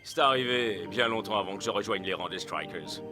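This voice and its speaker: An actor playing a troubled, guilt-ridden footballer, hesitant and earnest, in French cartoon dubbing. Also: male voice, on the low side, a little fast, music in the background, close to an everyday voice. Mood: remorseful.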